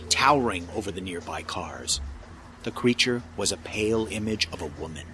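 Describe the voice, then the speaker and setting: A man's voice: on the low side, very noisy. A man narrating a dramatic scripted story. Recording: narration under very loud music.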